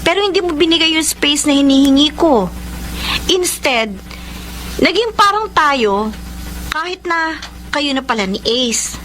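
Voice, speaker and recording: A woman's voice: high voice, animated, measured pace, long pauses, fairly bright tone, slight background noise. An actress playing a heartbroken young career woman with a Filipino accent, narrating and acting in a radio romance drama, mixing in English phrases. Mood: hurt, reproachful. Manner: mixes English phrases into her talk. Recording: music bed behind the voice.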